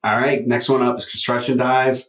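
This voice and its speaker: A loud-voiced man co-hosting a podcast in casual chat. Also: mid-range voice, little pitch movement, moderate pace, reverberant. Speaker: podcast co-host.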